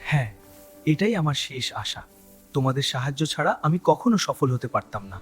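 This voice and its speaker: Guy playing a gentle hurt alien in a cartoon, raspy, quick, solemn, soft music behind. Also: mid-range voice, expressive. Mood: grateful. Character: gentle wounded winged alien, grateful, far from home. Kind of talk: cartoon dub dialogue.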